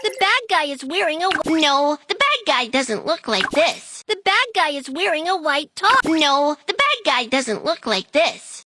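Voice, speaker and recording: A woman's voice: high voice, sing-song, measured pace, hardly any pauses, pushed and bright, slight background noise, full character voice. A woman voicing a small, eager, childlike animal police helper in a children's cartoon, speaking in simple exclamatory phrases. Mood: earnest. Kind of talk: scripted children's cartoon dialogue.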